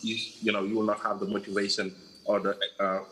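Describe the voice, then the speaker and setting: A man's voice: on the low side, level tone, brisk, fairly bright tone, poor audio. A man answering interview questions in an earnest, reflective way, often saying "you know.". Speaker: guest from a changemaker organisation.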